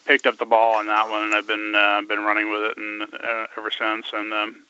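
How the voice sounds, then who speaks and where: A man in his fifties, a guest on a radio interview, talking haltingly with many fillers and restarts. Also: lowish voice, monotone, sharp tone, clean tone. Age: 50s. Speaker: guest researcher. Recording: dull lo-fi radio sound.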